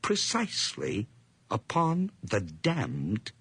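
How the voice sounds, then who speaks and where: An actor playing a mad, nervous murderer confessing in an old radio horror drama, theatrical and intense with whispers and outbursts. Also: male voice, mid-range voice, huge pitch swings, slow, fairly bright tone, slight background noise, heavily acted. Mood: feverish agitation.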